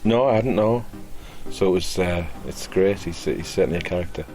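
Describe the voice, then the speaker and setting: A man's voice: low voice, moderate pace, dark tone, faint music behind. A British man, an actor, chatting casually in an interview. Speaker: guest actor. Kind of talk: casual behind-the-scenes interview.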